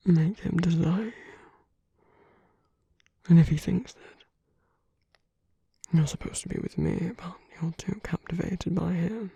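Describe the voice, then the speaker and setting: A woman performing an ASMR roleplay as a suave, teasing, flirtatious villain, in a breathy, husky half-whisper with slow, coaxing murmurs. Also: mid-range voice, warm tone, no room sound, heavily acted. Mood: possessive, teasing. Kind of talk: ASMR roleplay, intimate scripted monologue.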